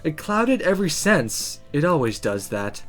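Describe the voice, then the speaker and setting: A man reading visual novel text aloud as dramatic, expressive first-person story narration. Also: fairly high pitch, unhurried, faint music behind.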